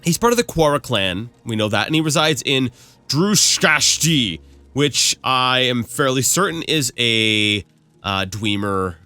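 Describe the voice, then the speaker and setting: A man talks over his gameplay in a nasal voice that is still a little cracky from a cold. His pitch swings freely and his tone is bright and sharp. He rambles and corrects himself as he thinks aloud, with faint game music behind him. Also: no room sound. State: recovering from a cold, slightly cracky voice. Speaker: let's play host. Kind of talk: casual gameplay commentary.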